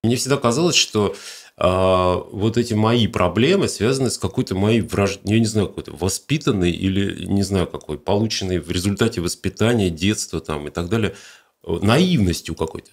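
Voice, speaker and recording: Low-voiced man in his forties, hesitant. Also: moderate pace, husky. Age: 40s. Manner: hesitant, searching for words. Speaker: podcast host. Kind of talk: casual chat. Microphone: desk mic on a stand.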